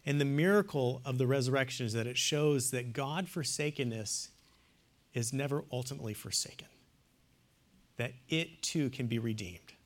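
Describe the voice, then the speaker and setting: Man Lecturer, mid-pitched voice, earnest, emphatic, dips hushed then rises loud. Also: moderate pace. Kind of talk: classroom lecture on theology and poetry.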